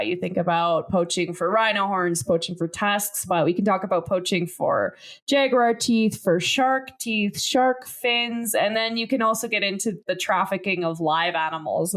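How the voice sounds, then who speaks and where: A woman's voice: medium pitch, fairly even intonation, normal speed. A young adult woman hosting a science podcast in casual chat, joking and explaining plainly. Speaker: podcast host.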